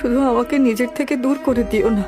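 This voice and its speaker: A woman acts out a devoted lady of a landlord's house in a cartoon drama. She has a fairly high voice and speaks with earnest, melodramatic dignity. Music runs behind her. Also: a little fast, lightly acted. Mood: pleading. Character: devoted, dignified landlord's wife, self-sacrificing matriarch. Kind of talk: Bengali cartoon voice acting.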